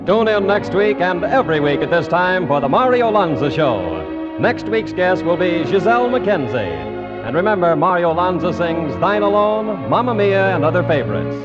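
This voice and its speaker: Animated American vintage radio announcer man. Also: high-pitched, normal speed. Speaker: radio show announcer and sponsor pitchman. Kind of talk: scripted 1950s radio announcing. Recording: dull vintage radio recording, music behind. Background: orchestral music.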